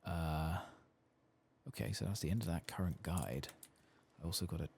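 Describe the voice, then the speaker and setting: A soft-spoken man giving low-key ASMR commentary as he plays a game, muttering to himself. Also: normal speed, gravelly, breathy edge. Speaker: gaming video host.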